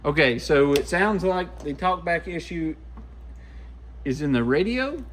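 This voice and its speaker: Easygoing guy kinda higher voice, chatting to himself while tinkering in a shop, some noise behind him. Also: animated, unhurried. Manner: folksy, easygoing, talks to himself while working. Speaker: radio repair shop vlogger. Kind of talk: casual hands-on bench commentary. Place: small radio repair workshop, indoors.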